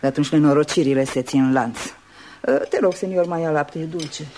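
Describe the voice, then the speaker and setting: An actress playing an eccentric, melancholy old Spanish lady in a radio play, musing and wandering, sometimes dropping to a hush. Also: low-pitched, close to an everyday voice. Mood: musing, mournful. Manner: wandering, musing, with little laughs and sudden hushes. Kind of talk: Romanian radio theatre acting. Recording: noisy old radio drama recording.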